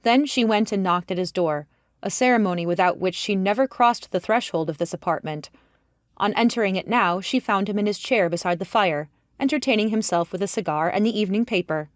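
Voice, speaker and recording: A woman's voice: medium pitch, a little fast, no echo, studio quality. A woman reading scripted literary narration as an audiobook narrator.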